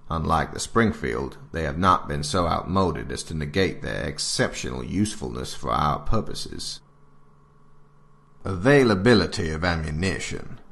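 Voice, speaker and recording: Man acting as grave military manual narrator, bass-low, creaky, measured, formal, instructive. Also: fairly bright tone, full character voice. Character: stern military handbook narrator, grave and authoritative. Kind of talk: scripted narration of an alternate-history field manual.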